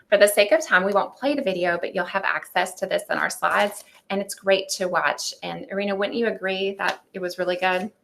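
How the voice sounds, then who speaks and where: A woman's voice: mid-range voice, creaky, a bit bright. A middle-aged woman teacher giving an upbeat, step-by-step webinar presentation on a computer mic. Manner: upbeat, explanatory, walks through steps.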